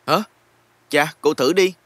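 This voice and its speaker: Man playing kind, higher-voiced patient guide. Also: slow pace, fairly bright tone, no echo. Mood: friendly, curious. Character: kind, patient human man guiding a newcomer. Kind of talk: dubbed fantasy film dialogue.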